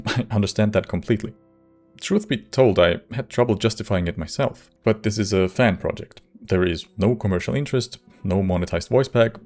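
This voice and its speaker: A man reading scripted video essay narration in a measured, wry manner. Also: fairly low pitch.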